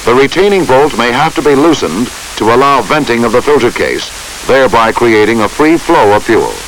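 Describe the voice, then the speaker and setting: A man narrates a training film in a formal, measured, instructional style. His pitch is medium and moves in a lively way. The soundtrack is old, with a faint hiss behind the voice. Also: poor audio.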